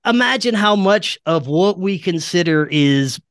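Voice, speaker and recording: A man's voice: sits high, expressive, unhurried, a bit bright, smooth, no echo, studio quality. A man talking casually and earnestly as a podcast guest, telling strange stories in an informal way. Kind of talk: casual conspiracy and paranormal talk.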